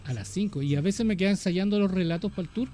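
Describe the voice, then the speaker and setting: A man with a Chilean accent hosting a radio show, telling lively anecdotes in casual chat and laughing often. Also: high-pitched, rapid-fire, faint music behind.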